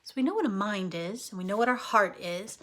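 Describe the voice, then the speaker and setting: A woman reading a story aloud to children like a teacher, expressively, pausing to explain things simply and check in with her listeners. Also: medium pitch, fairly bright tone. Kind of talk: animated read-aloud storytelling with explanatory asides.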